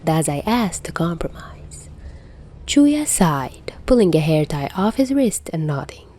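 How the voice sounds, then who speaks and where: Expressive, lower-voiced woman narrating. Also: unhurried. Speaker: fan fiction narrator. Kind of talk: expressive scripted story narration.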